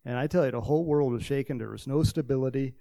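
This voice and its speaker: A man's voice: mid-range voice, quick pace, dark tone. A man in his sixties from Texas with a slight Texan accent, preaching in a casual, rambling storytelling way with many fillers. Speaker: pastor.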